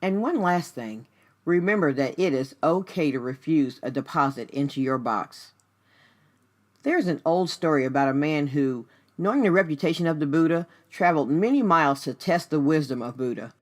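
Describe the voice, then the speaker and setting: A woman's voice: deep voice, expressive, moderate pace. Woman giving an earnest motivational radio talk. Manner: earnest, direct address to the listener with rhetorical questions. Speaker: radio show host and life coach. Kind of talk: scripted motivational talk with conversational asides.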